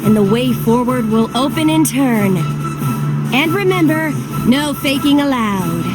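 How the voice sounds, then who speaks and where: A woman's voice: high-pitched, animated, a little slow, over loud music. A woman playing a mysterious, teasing master of ceremonies in a video game, proclaiming grandly. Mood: solemn, portentous. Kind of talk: video game cutscene narration in character.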